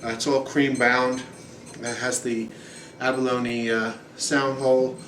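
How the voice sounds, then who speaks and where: Male, 40s, casual home gear reviewer, mid-pitched, rambling delivery, distant camera audio with room echo. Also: a little slow, a little hiss or hum. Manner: relaxed, rambling, many ums and uhs. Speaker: guitar reviewer. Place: small room at home, indoors. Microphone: camera mic at a distance. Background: acoustic guitar playing.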